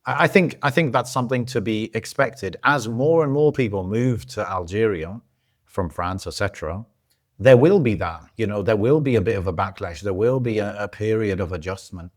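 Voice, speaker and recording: A man co-hosting a podcast, talking in a relaxed, casual way with lots of fillers and restarts. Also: fairly low pitch, normal speed. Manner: relaxed, loose storytelling with many fillers and restarts. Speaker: podcast co-host. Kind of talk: casual chat. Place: lives in Algeria.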